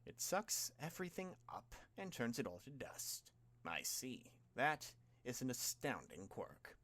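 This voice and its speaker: A man voicing a villain made of dark mist in an audiobook, formal, measured and condescending as he coolly mocks. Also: medium pitch, wildly swooping pitch, voice pushed up, heavily acted. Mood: cool, conversational.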